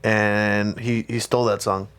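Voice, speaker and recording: A man's voice: lowish voice, level tone, unhurried, a bit bright, clean tone. Spanish-accented man in casual podcast chat. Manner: rambling, many restarts, swears casually. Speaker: podcast co-host.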